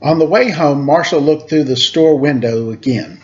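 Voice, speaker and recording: A man's voice: fairly high pitch, moderate pace. Man reading aloud, dull lo-fi recording. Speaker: teacher reading a storybook aloud. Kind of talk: read-aloud storytelling with casual asides.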